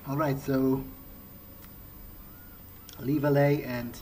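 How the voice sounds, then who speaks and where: A man's voice: mid-range voice, level tone, drawn-out words, a little mellow. Hesitant male gamer over noisy game ambience. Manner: hesitant, thinking aloud. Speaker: gamer playing in character. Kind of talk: improvised in-character video game roleplay. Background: video game ambience.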